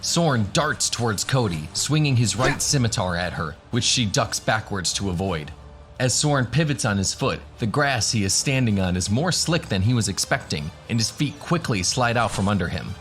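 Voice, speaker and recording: A man's voice: fairly low pitch, animated, moderate pace, fairly bright tone, faint music behind. A man narrating a scripted fantasy adventure as the game master of a radio drama.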